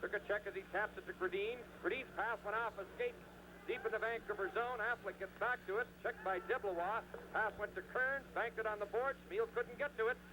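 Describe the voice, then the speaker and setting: A man's voice: sits high, brisk, background noise. A man calling hockey play by play on radio in clipped, urgent running narration, on a 1970s broadcast recording. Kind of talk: live radio sports commentary.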